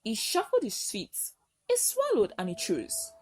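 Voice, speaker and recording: A woman narrating a folktale as a storyteller, with earnest, expressive and dramatic delivery. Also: on the high side, unhurried.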